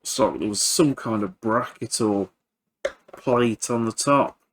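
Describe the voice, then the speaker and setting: A man giving casual hands-on repair commentary as a video host, halting and thinking aloud while he works. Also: medium pitch, slight background noise.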